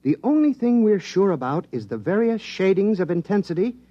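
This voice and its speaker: An actor playing an eager, absent-minded government scientist in a 1950s radio drama, explaining things in an animated way. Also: male voice, high-pitched, a little fast, no room sound, lo-fi sound.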